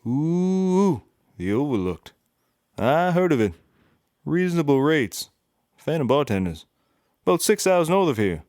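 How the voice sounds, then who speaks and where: A man's voice: on the high side, big pitch swings, a little slow, pauses often, darkish tone, no room sound, clean studio sound. A man playing an eager, folksy detective sidekick in a comedy audio drama, with cooing interjections. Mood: intrigued.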